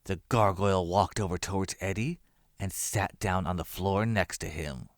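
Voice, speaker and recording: A man's voice: low-pitched, moderate pace, no echo, clean studio sound. A man narrating a scripted horror story as its storyteller. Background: music.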